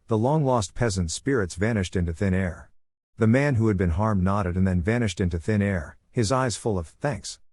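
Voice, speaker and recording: A man with a fairly low voice narrates horror stories in a steady, measured read. The sound is dry and close, with no room around it. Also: no echo. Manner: steady, measured storytelling delivery.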